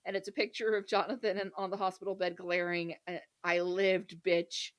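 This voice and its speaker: A woman hosting a podcast in casual, humorous chat, animated and giggly, swearing freely. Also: on the low side, normal speed. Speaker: podcast host.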